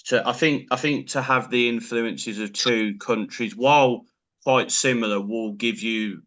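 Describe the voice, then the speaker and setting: A man's voice: mid-range voice, a little slow, fairly bright tone. A man hosting a casual interview, asking questions in an encouraging, affirming way. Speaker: interviewer host.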